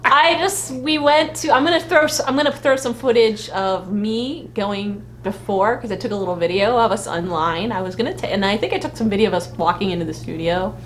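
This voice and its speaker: A woman co-hosting a casual live fan vlog, animated and joking, repeating phrases for emphasis. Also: medium pitch, fairly quick, hardly any pauses. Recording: noisy home livestream audio.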